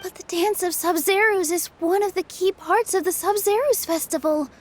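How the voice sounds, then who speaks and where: A woman playing a sweet, sincere young female dancer in a video game, pleading yet quietly defiant. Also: extremely high pitch, normal speed, slight background noise. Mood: dismayed. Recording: soft music behind.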